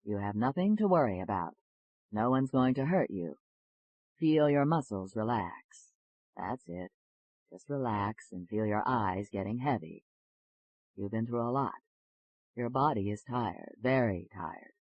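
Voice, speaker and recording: A woman narrator plays a male doctor practicing hypnosis, with a lowered voice. He is calm and unhurried, coaxing and reassuring in an audiobook reading. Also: no echo, muffled recording. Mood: soothing.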